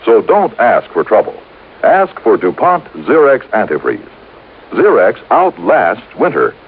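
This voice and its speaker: A man delivering an emphatic, polished sales pitch as an old-time radio commercial announcer. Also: wildly swooping pitch, unhurried, slight background noise. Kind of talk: 1950s radio advertising pitch. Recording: muffled vintage radio broadcast.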